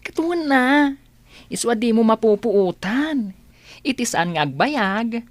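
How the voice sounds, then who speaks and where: A supportive Filipino woman friend in a radio drama, played by an actress. She speaks expressively and slowly in a fairly high, very lively voice. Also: dry recording. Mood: sympathetic. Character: supportive female friend working abroad. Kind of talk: Ilocano radio drama acting.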